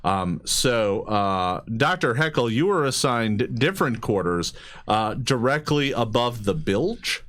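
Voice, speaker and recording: A man narrating a tabletop game as game master, improvising haltingly and often repeating his words. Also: medium pitch, expressive, a bit bright.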